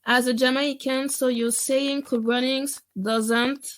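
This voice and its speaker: A woman moderating a video-call film panel, in an unhurried, conversational way. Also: sits high, steady tone.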